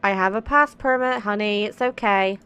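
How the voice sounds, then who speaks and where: A woman's voice: mid-range voice, moderate pace, fairly bright tone. A woman doing casual, chatty commentary over a game playthrough, playful and full of pet names. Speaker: gaming video host.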